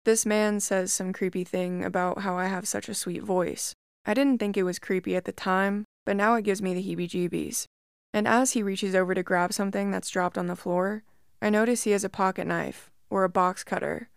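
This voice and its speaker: Calm woman narrating a creepy story. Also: mid-range voice, moderate pace, clear, resonant tone, dry recording, studio quality. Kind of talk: reading a first-person creepy story.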